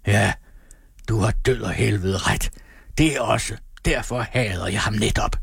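A man performs a rejected suitor from a classic novel, wounded and bitter. The delivery is declamatory and melodramatic. The voice is somewhat husky and closely recorded, with little room sound. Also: on the low side, animated, dry recording, clean studio sound. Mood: furious. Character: bitter rejected suitor, pleading then raging and threatening.

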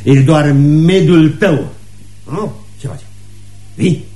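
An actor as a coarse, belligerent drunk in an echoey room. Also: male voice, fairly high pitch, expressive, warm tone, soft background music, lightly acted. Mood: belligerent, impatient. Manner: rude, taunting, drunken. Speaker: radio drama actor. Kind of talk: radio theatre acting. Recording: roomy, hissy radio play recording.

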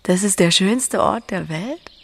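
A woman acting a blunt, earthy, solitary farmer in a naturalistic radio drama, with a husky voice. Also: mid-range voice, lively intonation, unhurried. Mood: matter-of-fact.

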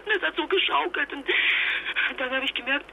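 A woman's voice: high-pitched, fairly quick, gravelly, sharp tone, a little hiss or hum, full character voice, poor audio. A woman acting a distraught young mother in a German radio drama, stammering and repeating herself in broken phrases. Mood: traumatized, distraught. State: distraught, shaken. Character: traumatized young mother who survived a capsized boat.

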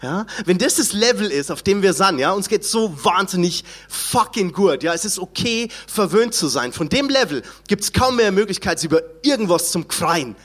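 A man's voice: sits high, expressive, a bit bright. A Bavarian man from Chiemgau doing live stand-up comedy, reciting a rhymed poem and riffing in a chatty, mock-indignant way. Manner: chatty, mock-indignant, playful drawn-out sounds. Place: live comedy show on stage.

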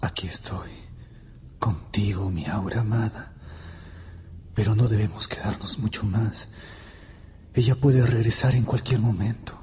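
A man's voice: fairly low pitch, steady tone, a little slow, long pauses, dark tone, slight background noise, natural voice. An actor playing an anguished, bewildered young man desperately in love, with impassioned delivery in a radio drama. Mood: tender, hushed. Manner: impassioned, repeating himself in anguish.

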